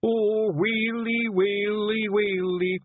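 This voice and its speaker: A man voicing a simple-minded, weepy little fairy man with a Scottish accent, slow and wailing. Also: on the high side, very animated, slightly rough, no echo, voice pushed up, exaggerated voice. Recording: phone-like narrow band.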